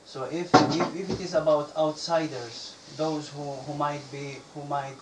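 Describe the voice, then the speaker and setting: A man from Bosnia asks his question haltingly, restarting and repeating words as he searches for them. His voice sits a little higher than most, and he sounds far from the mic in a roomy, echoing space with steady noise behind him. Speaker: visitor asking questions. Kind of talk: halting question from an audience member. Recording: echoey room, speaker far from the mic, clear background noise.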